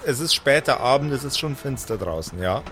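A man's voice: mid-range voice, animated, a little fast, fairly bright tone. A man narrating an improvised pen and paper audio role-play as game master, with a playful, mock-dramatic manner. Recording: music bed behind the voice. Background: background music.

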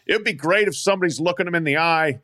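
A man's voice: on the high side, racing, sharp tone. A man co-hosting a sports podcast, making his points emphatically in casual chat. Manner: emphatic, argumentative. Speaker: podcast co-host.

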